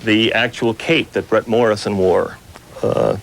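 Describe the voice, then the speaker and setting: A man's voice: fairly low pitch, fairly even intonation, unhurried, vocal fry, slight background noise, rough recording. A man talking casually and earnestly as an enthusiastic collector being interviewed.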